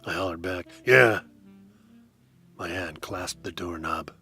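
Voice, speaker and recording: A man with a very low, deep voice narrates a hardboiled detective tale. His delivery is dry and deadpan with a wry edge, and faint music plays underneath. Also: moderate pace, breathy edge.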